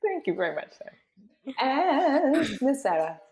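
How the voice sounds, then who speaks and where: A woman's voice: sits high, big pitch swings, slow pace, a little mellow. A woman hosting a cast discussion as a voice-over director, explaining things in an encouraging way. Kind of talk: guiding a cast panel discussion.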